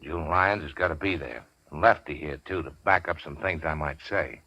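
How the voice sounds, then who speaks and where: An actor playing a wounded, cynical gangster in 1940s radio crime drama, terse, slangy and sardonic. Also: male voice, a bass voice, moderate pace, bright, forceful tone, husky, no echo, heavily acted. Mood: dry, scheming.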